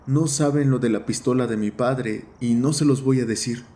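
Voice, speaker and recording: A man's voice: mid-range voice, moderate pace, dark tone, close to an everyday voice. Man playing a defiant haunted teenage boy, muted tone. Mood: determined. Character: haunted, defiant thirteen-year-old boy nicknamed Spooky. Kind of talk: dramatized horror audio fiction.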